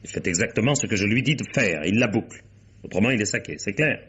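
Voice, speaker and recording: An actor playing a brusque, imperious businessman and wronged husband in a French radio mystery, with a husky voice. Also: on the low side, expressive, fast talker, a bit bright, muffled recording. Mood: irritated, domineering. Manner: brusque, domineering, blunt. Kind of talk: French detective radio drama acting.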